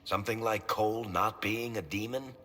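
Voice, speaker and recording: An actor playing a wry, roguish middle-aged man in a video game, with a gravelly voice and a sardonic, conversational delivery. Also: fairly low pitch, normal speed, sharp tone, a little hiss or hum.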